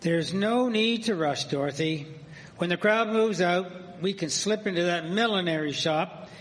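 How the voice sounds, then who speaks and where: A man playing a coaxing, flattering gentleman soothing his companion in a live radio drama. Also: sits high, a little slow, poor audio.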